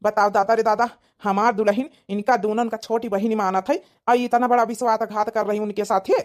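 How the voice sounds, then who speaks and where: A woman's voice: medium pitch, steady tone, brisk, darkish tone, close to an everyday voice. A woman voicing a suspicious, worried young village husband with an Awadhi accent, muttering and exclaiming in a comedy cartoon. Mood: shocked, worried.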